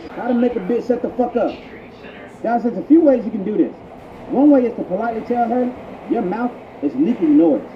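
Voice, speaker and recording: High-pitched man, echoey crude mock tutorial. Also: animated, a little fast. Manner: profane, slangy, instructional. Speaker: prank tutorial narrator. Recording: echoey, muffled, noisy intercom playback. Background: public transit ambience.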